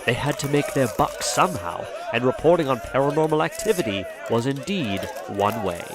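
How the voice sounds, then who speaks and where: A man's voice: mid-range voice, expressive, moderate pace, a crowd in the background. A man narrating an audio drama in a theatrical, playful and slightly grandiose storytelling style.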